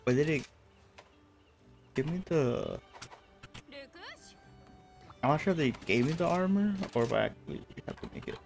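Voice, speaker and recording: A man's voice: a little high, sing-song, moderate pace, vocal fry, long pauses, a little mellow. A man in his thirties from California, chatting casually while streaming a game, laughing often. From California, USA. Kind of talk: casual gameplay commentary. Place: home room. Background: faint game music.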